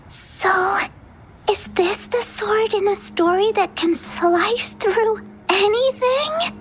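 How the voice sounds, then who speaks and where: Shy wide-eyed little kid, squeaky high n slow, anime game voice, music behind. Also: female voice, a bit bright, slight background noise, full character voice, low-quality recording. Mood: wondering. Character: timid, wide-eyed small child in awe.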